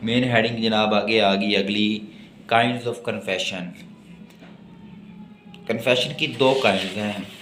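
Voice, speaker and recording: A man with a Pakistani accent giving a law lecture, reading out legal text deliberately and then explaining it. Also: on the low side, steady tone, fairly bright tone, slight background noise. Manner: alternates slow deliberate reading with plainer explanation. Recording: echoey, reverberant room sound.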